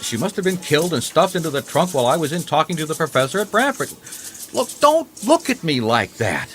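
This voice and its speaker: A man's voice: on the high side, big pitch swings, fairly quick, a bit bright, slight background noise. An actor playing a beleaguered, earnest private detective in an old-time radio mystery, a man who stumbles over his words. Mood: defensive. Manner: hesitant, repeats himself when flustered. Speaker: private investigator character and narrator.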